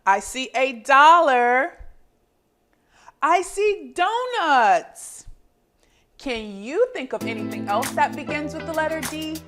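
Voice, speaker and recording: A woman hosting a children's phonics lesson and storybook read-aloud, enunciating deliberately and expressively as a teacher does. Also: high voice, expressive, a bit bright, soft background music.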